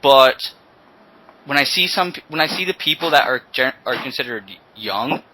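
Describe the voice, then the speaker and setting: A man's voice: medium pitch, lively intonation, normal speed, bright, forceful tone, poor audio. A seventeen-year-old man hosting a casual podcast chat, often repeating words and restarting as he talks. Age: teens. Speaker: podcast host.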